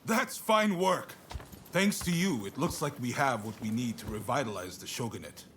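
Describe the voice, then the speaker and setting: A man's voice: medium pitch, expressive, normal speed, a bit bright, faint music behind, lightly acted. A man playing an affable, commanding shogunate military officer in a video game cutscene, hearty and persuasive. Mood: pleased.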